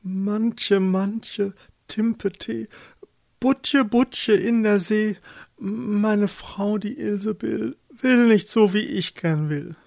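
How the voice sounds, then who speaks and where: A man's voice: fairly high pitch, very animated, unhurried, no room sound, exaggerated voice. A man voicing a timid, humble fisherman husband in a fairy tale, hesitant and pleading. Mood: fearful pleading.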